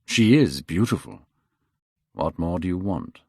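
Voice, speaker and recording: A man voicing a languid, worldly gentleman with a lowered, offhand drawl, in a dry audiobook recording. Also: sing-song, unhurried, creaky, slightly rough, dry recording, heavily acted.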